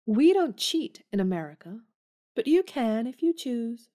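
A woman's voice: on the high side, very animated, a little slow, warm tone. An actress playing a bold, blunt young woman in an audio drama, speaking forthrightly.

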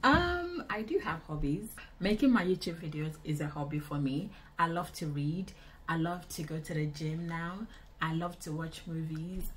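A woman in her twenties with a Nigerian accent, chatting casually to camera in an indoor vlog, expressive and repeating phrases for emphasis. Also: sits low, a little hiss or hum, poor audio. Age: 20s. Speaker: vlogger. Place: small indoor room.

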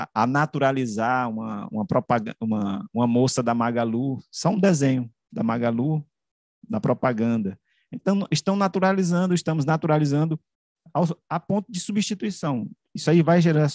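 A man explains in an academic discussion, haltingly, repeating and rephrasing and asking rhetorical questions, close to the microphone. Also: mid-range voice, a little mellow, no echo. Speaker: media researcher on a panel. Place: room with bookshelves, on a video call. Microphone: large microphone close to the mouth.

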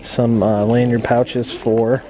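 A man vlogging a shopping trip, chatting casually and reading out prices as he films in a quiet clothing store. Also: mid-range voice, level tone, slow, lo-fi sound, a crowd in the background. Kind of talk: casual chat.

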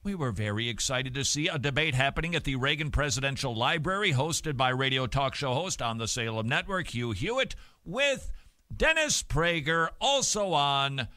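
Animated middle-aged male talk host. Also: medium pitch, moderate pace, no echo. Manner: animated, joking, emphatic. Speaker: radio and TV show host. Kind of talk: talk show commentary with Bible reading. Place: small dim studio set. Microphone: studio mic on boom arm.

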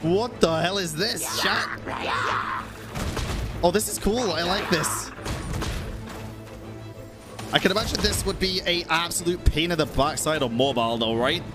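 A man's voice: on the high side, measured pace, long pauses, loud music behind the voice. A man in his thirties giving casual live stream commentary, reacting aloud to a game and chatting to viewers.

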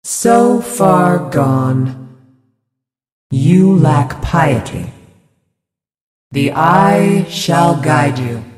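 A serene pious spirit priestess for a video game, super slow n ceremonial, big echoey hall sound. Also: female voice, low voice, animated, a little mellow, far from the mic, exaggerated voice. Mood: solemn, judging. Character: serene, devout female floating spirit healer, solemn and judging. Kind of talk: video game character voice lines. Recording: reverberant hall effect, music behind.

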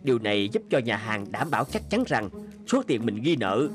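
An assured businessman in a cartoon explains himself over prominent music , voiced by a man. His mid-pitched voice moves up and down with lots of life. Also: big pitch swings, moderate pace, lightly acted. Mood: confident. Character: businessman explaining a payment idea. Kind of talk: animated documentary-story dubbing. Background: background music.

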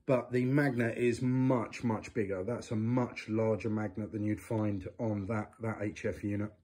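A man's voice: on the low side, a little slow. Chatty man explaining hi-fi. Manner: knowledgeable, chatty, expressive hand gestures. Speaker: hi-fi reviewer. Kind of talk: casual explanatory review. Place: living room, indoors.

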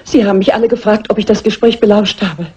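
Very breathy, timid woman companion. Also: medium pitch, steady tone, fast talker, mellow. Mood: anxious, timid. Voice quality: husky, breathy. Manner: hesitant, anxious, apologetic. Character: timid, frightened lady companion confiding what she overheard. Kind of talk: German crime film dub acting. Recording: dull, lo-fi old film soundtrack.

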